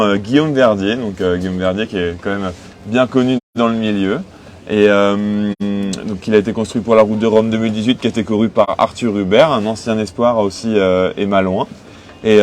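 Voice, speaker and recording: A man of twenty-five from western France, answering interview questions conversationally as a skipper. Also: fairly low pitch. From Cholet, western France. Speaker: sailing skipper being interviewed. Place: aboard a sailing boat in port. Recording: noticeable background noise on location.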